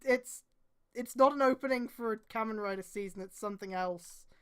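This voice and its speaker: Smooth high-voiced girl reading stuff out on stream, exasperated, swears in her asides. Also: moderate pace. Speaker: streamer reading fan fiction aloud. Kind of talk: live read-aloud with mocking commentary.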